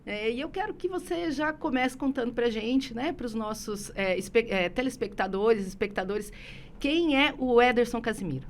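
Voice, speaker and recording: A woman's voice: fairly high pitch, moderate pace. A woman hosting an interview in a friendly, chatty way. She sometimes trips over her words as she asks her questions. A faint noise sits under her voice. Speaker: interview host. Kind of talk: casual interview conversation.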